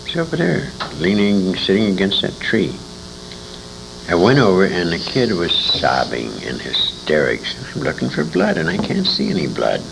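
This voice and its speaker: Deep, gravelly older man telling war stories. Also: wildly swooping pitch. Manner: emphatic, salty, halting delivery with acted-out dialogue. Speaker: war veteran being interviewed. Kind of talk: anecdotal storytelling in an interview. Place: indoor interview at a convention. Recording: noisy amateur interview tape with room ambience.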